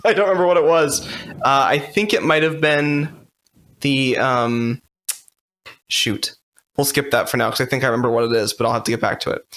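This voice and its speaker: A man co-hosting a podcast, chatting casually through a walkthrough in a halting, loosely worded way. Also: medium pitch, a bit bright. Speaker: podcast co-host.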